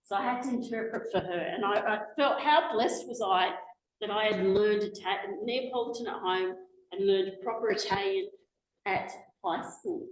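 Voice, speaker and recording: A woman in her forties with an Australian accent, giving a chatty, lively public talk as a guest speaker, full of asides and restarts. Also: mid-range voice, normal speed. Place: lecture room, indoors. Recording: echoey lecture room, faint noise.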